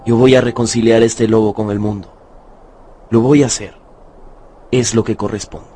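A man's voice: on the low side, fairly even intonation, moderate pace, warm tone, breathy edge, faint music behind. A man playing a principled, kind but firm miner in a radio drama, earnest and commanding. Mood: earnest, determined. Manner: earnest, commanding yet compassionate.

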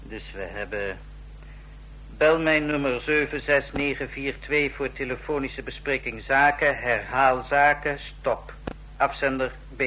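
An actor playing a polite, businesslike telegraph clerk in a radio drama, clipped and official. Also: male voice, medium pitch, a little slow, sharp tone. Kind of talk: Dutch radio drama acting. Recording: noisy vintage radio recording with hum.

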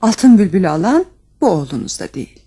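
A woman's voice: fairly low pitch, very animated, brisk, mellow, husky. A woman playing a proud, commanding young fairy sultana in a fairy tale audio storybook, speaking formally. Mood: imperious.

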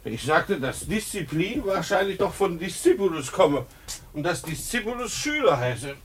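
A man's voice: high voice, sing-song, moderate pace, a little hiss or hum. An actor playing a middle-aged schoolteacher husband in a radio play, ironic and patronizingly patient with his wife.

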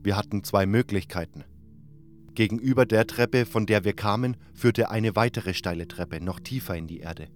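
Low-pitched male narrator over music. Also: normal speed. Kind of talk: scripted first-person fantasy audio drama narration. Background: prominent music.

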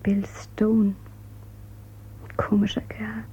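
A woman's voice: medium pitch, monotone, very slow, mellow, a little hiss or hum. An actress playing a young woman of eighteen who coaxes and persuades, in a radio drama. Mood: musing. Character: persuasive eighteen-year-old girl, quietly coaxing. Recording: dull, lo-fi radio sound.

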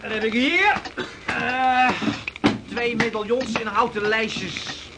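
High-voiced sailor, heavy noise. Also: male voice, fairly even intonation, takes it slowly, heavily acted. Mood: busy, curious. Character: plain-spoken male sailor taking stock of cargo. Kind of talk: period radio play acting. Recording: very noisy old radio drama recording.

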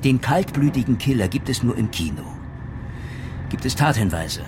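An actor playing a strict, exacting police chief with a dryly ironic, lecturing manner in a radio crime drama. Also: male voice, mid-range voice, sing-song, moderate pace, background noise, lightly acted. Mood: stern. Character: stern, exacting male police chief leading a murder team.

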